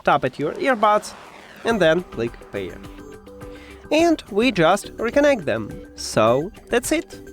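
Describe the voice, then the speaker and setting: A man with non-native English walks through a device tutorial step by step. His voice sits a little high and swings up and down in a lively way, though his phrasing is halting. Soft music plays faintly behind him. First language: not English. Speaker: tech tutorial presenter.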